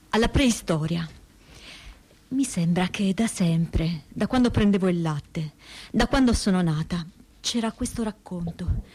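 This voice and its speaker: Reflective actress as a survivor's troubled daughter. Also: female voice, medium pitch, a little mellow. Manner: hesitant, searching phrasing with pauses and repetitions. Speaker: reader in a staged testimony reading. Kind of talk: dramatic reading of spoken testimony.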